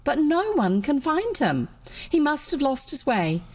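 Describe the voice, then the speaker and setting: A woman's voice: high-pitched, very animated, unhurried, darkish tone, voice pushed up. A woman voicing a worried middle-aged father reporting hurriedly that a guest is missing. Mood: anxious.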